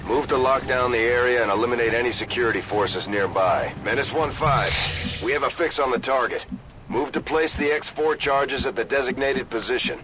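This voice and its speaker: A man's voice: medium pitch, natural voice. Man acting stern military commander, heavy noise behind. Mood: serious, resolute. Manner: measured, authoritative. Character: stern, businesslike male military commander briefing troops. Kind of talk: scripted game mission briefing.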